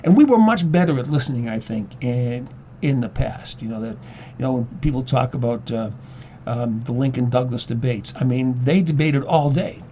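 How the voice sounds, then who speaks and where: A man speaks as a guest in a relaxed discussion. His voice is dark and mellow at a middling pitch. He explains things haltingly, doubling back on words, with a light layer of background noise. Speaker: guest, former English teacher. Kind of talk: casual discussion.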